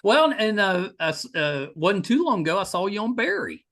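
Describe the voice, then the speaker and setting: A man's voice: high voice, very animated, moderate pace, a bit bright. A man hosting a podcast, chatting casually as he interviews a guest. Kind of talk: casual chat.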